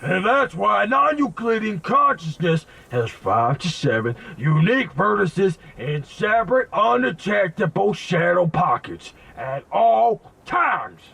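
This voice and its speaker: A man voicing an eccentric, grandiose inventor and mystic in a video game, raspy, creaky and theatrically verbose. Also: sits high, very animated, slow pace, hardly any pauses, a bit bright, full character voice. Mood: grandiose, excited. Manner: theatrical, verbose, bursts of emphatic exclamation. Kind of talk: video game character acting.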